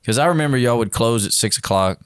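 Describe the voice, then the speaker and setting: A man's voice: medium pitch, animated, fast, clean tone, no echo, clean studio sound. An American man hosting a casual interview chat, easygoing, often putting in short acknowledgements. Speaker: podcast host.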